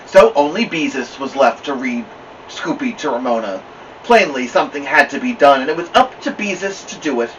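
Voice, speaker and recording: A man reading a children's story aloud with expressive narration. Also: mid-range voice. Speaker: storybook reader. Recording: distant mic, slightly dull, faint noise.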